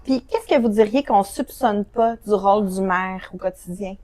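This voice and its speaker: A woman's voice: mid-range voice, moderate pace, a little mellow. A woman hosting a casual interview, friendly and a bit hesitant with many fillers. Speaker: interview host.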